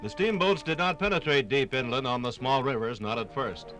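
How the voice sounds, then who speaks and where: Music plays clearly behind a male narrator of a vintage radio documentary. His voice sits at a medium pitch, and he builds phrases dramatically with deliberate pauses. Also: a bit bright.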